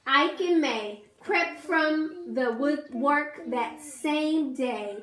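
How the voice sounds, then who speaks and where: A woman's voice: high-pitched, very slow, fairly bright tone. A woman teacher reading a picture book aloud to children, coaxing them to count along and asking them questions. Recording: roomy echo.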